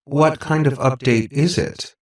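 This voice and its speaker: A sweet, possessive robot girl from an audiobook, acted out by a male narrator. The voice is lifted and measured, full of syrupy affection, with a manipulative, clinging insistence in careful, precise phrases. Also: mid-range voice, roomy sound, recorded from a distance, pitched above the performer's own voice, natural voice. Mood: curious. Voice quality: synthetic, affectionate tone.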